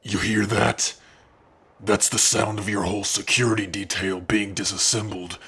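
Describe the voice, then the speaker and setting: A fierce orc warrior in an ASMR fantasy roleplay. His low voice is heavily rasped and gravelly, with a forceful edge. He is gruff and protective, with a tender side. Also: little pitch movement, normal speed, heavily acted. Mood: menacing. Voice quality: husky, gravelly. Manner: menacing to foes, tender with loved one.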